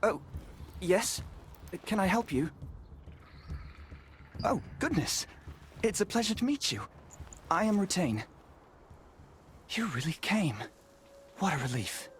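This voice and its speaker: An actor playing an earnest, courteous resistance investigator in a video game, polite and a little hesitant when worried. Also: male voice, high-pitched, very animated, slow pace, long pauses, slight background noise, heavily acted. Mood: relieved, polite. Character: earnest, courteous male resistance investigator, anxious about a missing child. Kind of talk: video game character dialogue.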